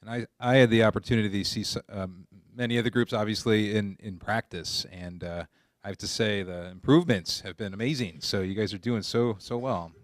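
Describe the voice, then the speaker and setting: A man's voice: on the low side, steady tone, fairly quick, dry recording. A man hosting an event, giving informal, encouraging remarks between presentations. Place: indoor college library event.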